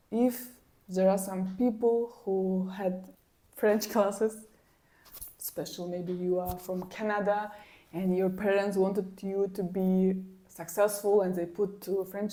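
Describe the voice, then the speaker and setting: Slow-talking woman. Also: mid-range voice. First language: Kyrgyz. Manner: animated and exasperated, repeats words for emphasis. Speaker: language vlogger. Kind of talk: casual personal storytelling to camera.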